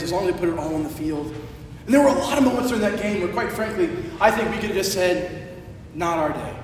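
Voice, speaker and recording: High-voiced, slightly hoarse man preaching in echoey hall. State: hoarse from shouting the day before. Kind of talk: conversational storytelling sermon. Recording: echoey large hall, distant, faint rumbling noise.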